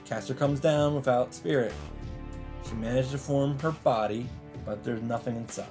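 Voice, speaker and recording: A man's voice: mid-range voice, moderate pace. Man narrating dramatically over game music. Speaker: let's play host reading a visual novel aloud. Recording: loud game music behind voice. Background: visual novel soundtrack.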